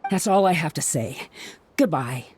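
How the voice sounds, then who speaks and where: Proud, curt young woman, lowish voice. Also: expressive, moderate pace, darkish tone, slight background noise. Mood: irritated, dismissive. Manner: haughty, brusque.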